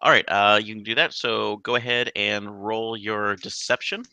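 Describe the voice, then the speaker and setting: A man's voice: lowish voice, little pitch movement, moderate pace, gravelly, sharp tone, slight background noise. A man acting as game master for an online tabletop game, talking in a loose, rambling way full of fillers. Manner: rambling, filler-heavy, easygoing.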